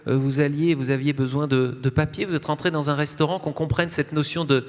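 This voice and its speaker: Male journalist moderating onstage, slightly high voice, interview questioning, echoing large hall. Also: level tone, fairly quick. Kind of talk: live interview, prompting guests. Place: congress hall.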